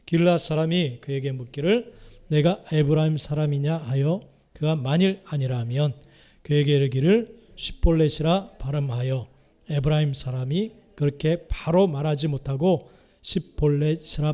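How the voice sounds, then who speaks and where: A middle-aged Korean man from the Chungcheong region, a pastor preaching a sermon who repeats key phrases and swings between loud exhortation and quiet asides. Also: a little high. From Korea, Chungcheong province.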